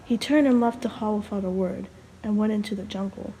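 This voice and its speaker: A young woman storyteller who sounds sick, tired and hoarse, reading a story aloud and chatting casually. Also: medium pitch, a little fast, mellow, a little hiss or hum, low-quality recording. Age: young adult. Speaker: storyteller, video host.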